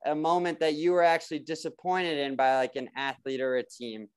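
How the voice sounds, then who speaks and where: A man hosting a casual sports conversation, relaxed and conversational. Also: fairly high pitch, fairly quick, smooth. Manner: relaxed, conversational, hesitant restarts. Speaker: host.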